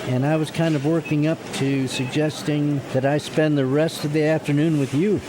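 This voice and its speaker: Man acting earnest lovestruck young man, higher voice. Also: steady tone, moderate pace, noisy background, natural voice. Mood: awkward, smitten. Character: awkward, earnest, lovestruck young lawyer son, gently rebellious. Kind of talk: radio drama acting.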